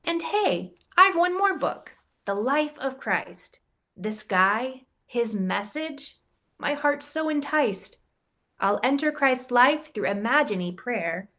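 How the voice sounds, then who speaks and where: A woman who directs campus ministry reads a rhyming picture book aloud, playful and expressive, giving the quoted lines a voice of their own. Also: sits high, slow pace. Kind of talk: storybook read-aloud in rhyme.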